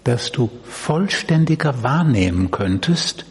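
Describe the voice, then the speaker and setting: A man guiding a body-awareness meditation, giving gentle instructions in short phrases with long pauses. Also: mid-range voice, very animated. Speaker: meditation guide. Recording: echoey hall, distant mic, noisy.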